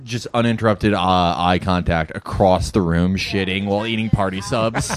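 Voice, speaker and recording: A man's voice: low voice, steady tone, moderate pace, crowd noise. A man in a casual comedic chat, trading absurd jokes. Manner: absurdist joking.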